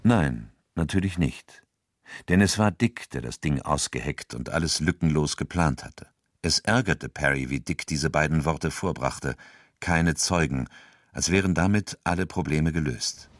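A male narrator with a deep, low voice reads scripted literary prose. His delivery is measured and sober. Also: no room sound, studio quality.

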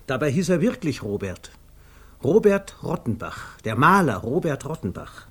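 An actor narrating a comic 1950s German radio play in a measured, precise, drily amused literary manner. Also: male voice, fairly high pitch, sing-song, slightly rough. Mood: wry. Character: wry literary male narrator of a comic tale.

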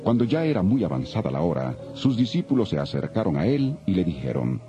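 A man narrating scripture from a script in a solemn, measured, dramatized storytelling style. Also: low voice, very animated. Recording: dull lo-fi audio, faint music.

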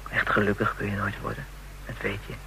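A woman's voice: extremely low pitch, completely flat delivery, brisk, bright, forceful tone, slight background noise, exaggerated voice, rough recording. A woman playing a bitter, hard-bitten young call girl in a radio drama, coarse and defiant. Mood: resigned.

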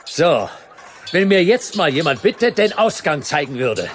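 A man's voice: fairly high pitch, sing-song, unhurried, a little hiss or hum. A man playing a wry, unimpressed private detective in radio play dialogue, speaking tersely and sardonically. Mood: sardonic.

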